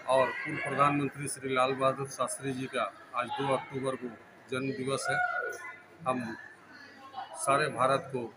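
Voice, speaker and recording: A man in his forties gives a formal, monotone statement into a handheld reporter mic. Loud event noise fills the hall behind him. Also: mid-range voice. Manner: formal, flat delivery. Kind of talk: news interview. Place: indoor town hall event.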